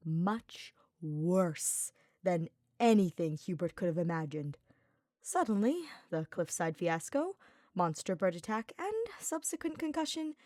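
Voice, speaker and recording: A woman reading scripted fiction as an audiobook narrator, in an expressive, deliberate storytelling style. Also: medium pitch, dry recording, clean studio sound.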